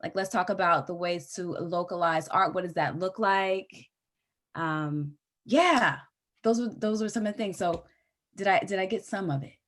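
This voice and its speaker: A woman co-hosting a live event, speaking casually and breaking off mid-sentence to restart. Her voice sits on the low side. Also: normal speed, fairly bright tone. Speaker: co-host.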